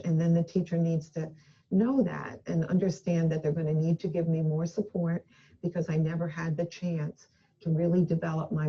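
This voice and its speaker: A woman's voice: sits low, highs cut off. A woman education expert giving an earnest, emphatic recorded talk, speaking indoors in a video clip.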